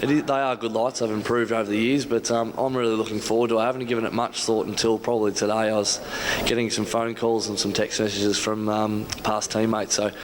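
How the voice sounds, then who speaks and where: A man's voice: fairly low pitch, flat delivery, fast talker, noisy background. An Australian man in his late twenties, a footballer and assistant coach, giving casual interview answers.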